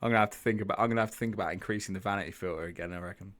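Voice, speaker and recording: Low-voiced man talking through charts. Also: fairly even intonation, rapid-fire, a bit bright. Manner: chatty, thinks aloud, repeats words, asks viewers questions. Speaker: trading group host. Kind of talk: live-stream chart analysis.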